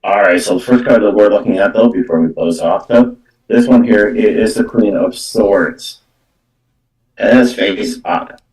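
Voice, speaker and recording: A low-pitched man speaks from a little way off the microphone in an echoey room. He gives spiritual card readings as a medium, improvising as he goes. His phrasing is halting and circles back on itself, padded with repeated filler words. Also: a little mellow, far from the mic. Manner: repetitive, halting phrasing with verbal tics like "though" and "actually".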